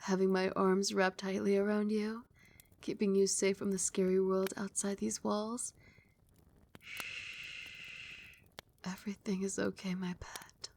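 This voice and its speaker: A woman voicing a doting, possessive vampire queen in an ASMR roleplay, speaking softly and breathily, often dropping into a whisper, with tender, regal endearments. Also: medium pitch, little pitch movement, unhurried, gravelly, long pauses, a little hiss or hum. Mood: soothing, protective. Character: doting, possessive vampire queen soothing her beloved pet.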